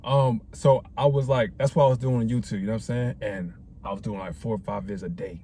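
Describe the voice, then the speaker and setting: A man's voice: on the low side, steady tone, brisk, warm tone, a little hiss or hum. A man in his twenties talking casually to camera in a rambling, reflective way, full of slang and fillers. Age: 20s. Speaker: vlogger. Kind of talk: casual talking-head vlog.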